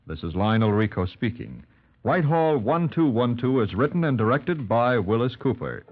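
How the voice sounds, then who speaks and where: Casting: male vintage network announcer, lively intonation, medium pitch, slightly muffled old broadcast. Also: moderate pace, a little hiss or hum. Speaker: radio announcer. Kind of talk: 1950s radio closing credits announcement. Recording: dull vintage 1950s radio recording.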